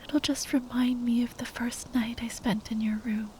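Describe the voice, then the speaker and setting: A woman playing a tender, grieving spellcaster lover in an ASMR roleplay, speaking in a breathy, halting whisper. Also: fairly high pitch, little pitch movement, dark tone, slight background noise, close to an everyday voice. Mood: tender, sad. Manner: halting, tender, sighing delivery.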